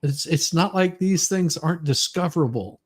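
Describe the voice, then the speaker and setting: A woman's voice: lowish voice, normal speed, slightly rough. A woman co-hosting a comedy podcast, talking casually and bluntly, joking as she comments and reads aloud. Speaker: podcast co-host.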